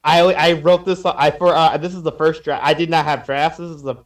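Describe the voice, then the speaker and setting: Stammering, higher-pitched young-sounding man chatting. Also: flat delivery, fairly quick. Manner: stammering, repeats and trails off. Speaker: podcast panelist reviewing fan fiction. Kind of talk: casual chat.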